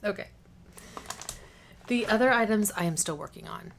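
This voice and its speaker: A woman's voice: medium pitch, animated, normal speed, creaky, a little hiss or hum. A woman vlogging casually to camera, chatty and informal with a tendency to wander off topic, slightly congested with a cough drop in her cheek. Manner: chatty, informal, prone to tangents. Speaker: beauty vlogger.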